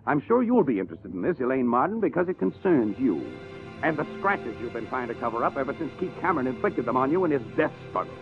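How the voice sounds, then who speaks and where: An American actor playing a shrewd, methodical police inspector who corners suspects, in 1950s radio drama style. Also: male voice, medium pitch, big pitch swings, fast. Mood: stern, triumphant. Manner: methodical, accusatory, drily self-deprecating. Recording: muffled vintage radio broadcast with music.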